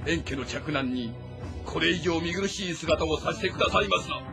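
High, emphatic loyal general played by a man. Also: moderate pace, music in the background, close to an everyday voice. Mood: fierce, resolute. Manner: emphatic, formal. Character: forceful loyal military general, male, formal. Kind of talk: dramatic acting in Japanese historical game.

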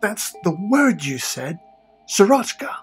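An observant, dry heroine, played by a woman in an audio drama. Her voice is medium in pitch, and music plays clearly behind it. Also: very animated, takes it slowly.

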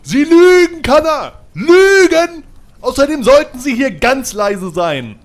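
Man as high-voiced blustering federal agent. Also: sing-song, slow pace, full character voice. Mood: furious. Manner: scolding, blustering. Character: irate, blustering male FBI agent. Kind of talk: audio drama acting.

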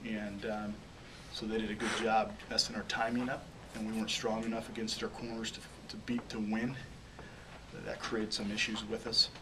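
A man's voice: lowish voice, level tone, normal speed. A man in his forties, a head football coach answering questions at a postgame press conference, often restarting and repeating words as he searches for phrases. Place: small press room, in front of a logo backdrop. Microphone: table microphone on a stand. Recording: distant mic, audible room noise.

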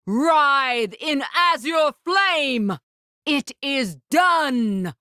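A woman voicing a proud, composed, regal warrior spirit in a video game, with formal, commanding, measured diction. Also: high-pitched, expressive, sharp tone, dry recording, clean studio sound, exaggerated voice. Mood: fierce, commanding. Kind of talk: video game battle lines.

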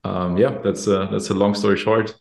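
A man's voice: fairly low pitch, level tone, normal speed, vocal fry, a little mellow. German-accented man on an echoey video call. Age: late 20s to early 30s. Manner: easygoing, repeats words as he thinks. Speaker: guest, company executive. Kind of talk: casual interview answers. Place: office room with plain wall. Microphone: video call, webcam. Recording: roomy echo on a video call.

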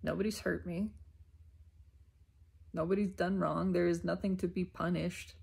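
Woman, low voice, vocal fry, reflective self-help musing, unhurried, emphatic repetition. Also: steady tone, darkish tone. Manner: unhurried, emphatic, repeating phrases.

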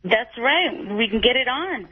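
A woman's voice: fairly high pitch, lively intonation, unhurried. Giggly flirty woman caller, tinny phone line on the radio. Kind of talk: phone-in call.

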